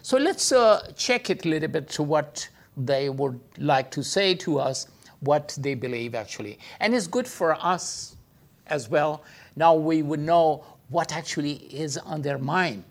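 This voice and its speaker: A man giving an informal teaching talk, full of hesitations and filler sounds, speaking English with non-native phrasing. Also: unhurried. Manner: hesitant, many filler sounds, lively pitch jumps. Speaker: speaker teaching about Islam.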